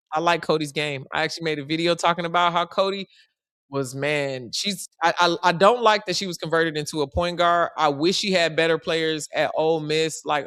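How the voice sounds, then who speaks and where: A woman's voice: fairly bright tone, no room sound, clean studio sound. A woman, chatting bluntly with her live-stream audience. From Chicago. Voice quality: deep voice. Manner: blunt and slangy, talking straight to the chat. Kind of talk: casual live-stream chat with viewers.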